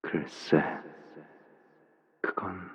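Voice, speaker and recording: An actor voicing a controlling, world-weary father and menacing swordsman in a video game, husky and deliberate, speaking with ominous softness. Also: no echo. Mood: soft, melancholy. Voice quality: husky, breathy. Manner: slow, deliberate, ominously soft-spoken. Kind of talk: dramatic game character voice lines.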